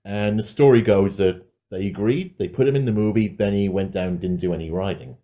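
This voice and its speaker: A man's voice: lowish voice, fairly quick, lo-fi sound. A man co-hosting a podcast, chatting casually about films in a wry, sweary, self-mocking way. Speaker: podcast co-host. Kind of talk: casual film review chat.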